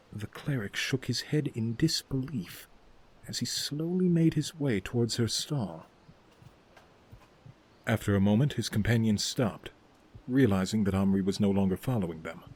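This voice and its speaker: A man's voice: medium pitch, a little mellow. A man reading scripted narration for a fantasy story as its narrator.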